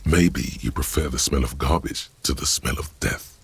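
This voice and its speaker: A man voicing a brooding, wry inner voice that narrates a detective's thoughts in a game, gravelly and measured. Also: a bass voice, full character voice.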